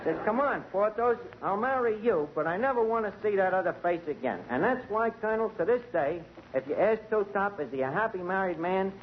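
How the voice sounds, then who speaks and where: A man tells a tall tale in a high, bouncy voice, tripping over fancy words like a street-smart tavern manager. It is an old 1940s radio comedy broadcast, dull and lo-fi with faint hiss. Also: lively intonation, fairly quick, hardly any pauses. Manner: tough-guy storytelling with malapropisms. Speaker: tavern manager character, lead comic.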